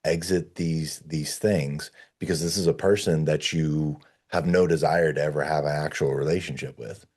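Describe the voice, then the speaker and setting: A man with a very low, mellow voice coaches someone through a casual conversation. He sounds dark-toned and relaxed, and phrases his advice informally and plainly. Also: moderate pace, slightly rough. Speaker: discussion leader giving advice.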